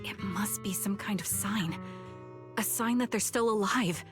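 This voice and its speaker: A woman playing a haunted, melancholy female soldier in a video game, speaking softly with sombre hesitation. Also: medium pitch, measured pace, over music, close to an everyday voice. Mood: pleading, desperate. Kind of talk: video game voice acting, recounting solemnly.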